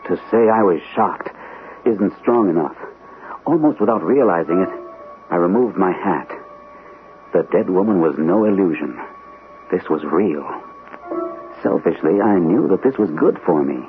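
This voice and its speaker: Haunted war veteran captain, low-pitched, nervous, halting. Vintage radio drama, tinny, music underneath. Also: male voice, expressive. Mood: haunted, tense. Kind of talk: old-time radio drama acting with first-person narration.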